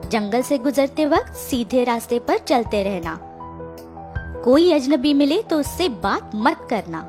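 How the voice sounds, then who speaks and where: A woman playing a careful mother in a children's cartoon story, instructive and deliberate. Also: sits high, lively intonation, a little mellow, soft background music. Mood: cautioning. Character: careful mother cautioning her young daughter.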